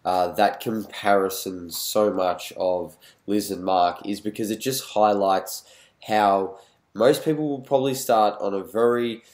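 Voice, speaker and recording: A man hosting a podcast, his voice stuffy and nasal from a cold. He sits a little low in pitch and speaks with enthusiasm. The sound is roomy and echoey. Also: steady tone, unhurried. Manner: warmly enthusiastic wrap-up. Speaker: podcast host. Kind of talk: casual interview talk. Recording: echoey room.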